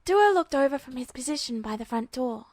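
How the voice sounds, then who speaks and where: High-voiced woman reading a story. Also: expressive, brisk, a little mellow, dry recording, clean studio sound. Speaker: podfic narrator. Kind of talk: scripted story narration.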